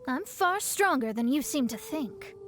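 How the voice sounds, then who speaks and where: A woman's voice: high-pitched, animated, unhurried, over music. A woman playing a proud, self-assured young female astrologer in a video game, confident and slightly haughty. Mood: proud, dismissive. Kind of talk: video game cutscene voice acting.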